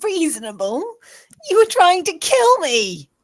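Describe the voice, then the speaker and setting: A woman's voice: extremely high pitch, very animated, unhurried, dry recording, exaggerated voice. A woman playing an enraged, menacing attacker in a table read of a thriller screenplay. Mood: bitter anger.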